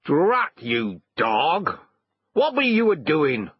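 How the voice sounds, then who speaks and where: A man's voice: medium pitch, expressive, measured pace, slightly rough, no room sound, lo-fi sound. A man voicing a grumpy, blustering farmer who scolds in rustic phrasing, for a storybook reading. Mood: angry scolding.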